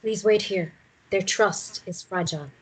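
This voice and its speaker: A woman playing a calm, nurturing caretaker with a husky voice in a screenplay table read. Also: medium pitch, a little slow, rough recording. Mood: cautioning.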